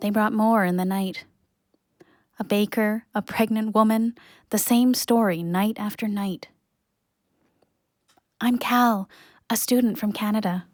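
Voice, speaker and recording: Imprisoned student young woman actor, audio drama: wistful, quiet storyteller, medium pitch, dry sound. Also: fairly even intonation, unhurried, pauses often, dry recording, lightly acted. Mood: bewildered, stoic. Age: young adult. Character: grieving young Canadian student in prison. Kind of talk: audio play monologue.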